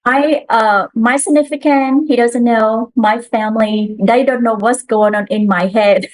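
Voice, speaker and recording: Woman, fairly high voice, earnest storyteller, trips over herself and restarts. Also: steady tone, a little slow, poor audio. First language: Khmer. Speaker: interview guest, trader. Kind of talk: casual interview.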